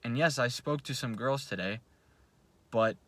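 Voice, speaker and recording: A man's voice: mid-range voice, measured pace, no room sound. A young man whose first language is Russian, talking in a blunt, profane, self-coaching vlog monologue at home at night. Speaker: vlogger. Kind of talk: casual motivational monologue. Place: home, at night before bed.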